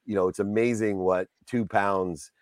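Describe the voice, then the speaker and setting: A man's voice: on the low side, steady tone, unhurried, no room sound, clean studio sound. A man hosting a casual interview, talking in an enthusiastic, rambling way and heaping praise on his guest. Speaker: podcast host.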